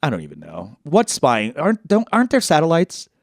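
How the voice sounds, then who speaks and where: A man from New York co-hosting a podcast in casual chat, swearing freely and asking rapid questions. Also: a little high, huge pitch swings, gravelly, no echo, clean studio sound. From New York, USA. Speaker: podcast co-host.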